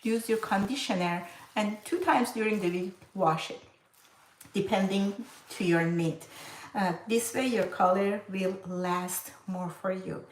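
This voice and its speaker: A woman, a hairstylist giving a chatty home tutorial on hair coloring, pausing often on fillers. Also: mid-range voice, echoey room, far from the mic, slight background noise, rough recording. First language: Farsi. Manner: chatty, instructive, with frequent uh fillers.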